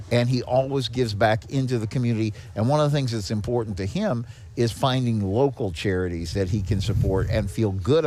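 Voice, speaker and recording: A man talks as a podcast guest in a medium-pitched voice. He is earnest and appreciative, speaking casually. There is light ambient noise behind him, as if recorded on location. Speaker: podcast guest, charity executive director. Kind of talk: casual interview talk. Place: on location at a garage. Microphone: podcast microphone with headphones.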